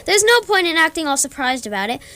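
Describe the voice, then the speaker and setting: High female voice actress, officious alien commander, bureaucratic, condescending, exasperated, radio play. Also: very animated, fast talker, very noisy. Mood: exasperated, scornful. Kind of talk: amateur radio comedy acting.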